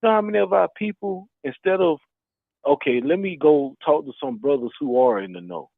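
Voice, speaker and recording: A man's voice: fairly high pitch, brisk, gravelly, no room sound. Earnest man on a tinny phone line. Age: 30s. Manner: earnest, quotes scripture. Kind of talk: casual group discussion.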